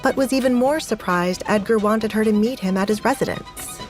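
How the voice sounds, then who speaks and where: A woman's voice: medium pitch, moderate pace. A woman reading scripted narration for an audio drama, with music playing behind her voice. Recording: music under the voice.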